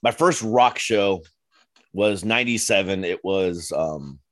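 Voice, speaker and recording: A man's voice: sits low, slow pace, fairly bright tone, no echo, clean studio sound. A man in his forties hosting a podcast, telling stories in an earnest, rambling, casual way. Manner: earnest, storytelling, repeats himself. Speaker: podcast host.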